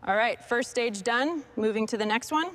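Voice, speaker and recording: A woman's voice: a little high, normal speed, fairly bright tone. A woman working as a mechanic, giving short workshop instructions and commentary on a truck restoration.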